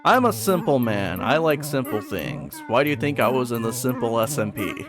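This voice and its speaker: A man's voice: medium pitch, big pitch swings, normal speed. A man giving casual, chatty, self-deprecating commentary as a video creator ranking things. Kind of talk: casual commentary over a tier list. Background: background music.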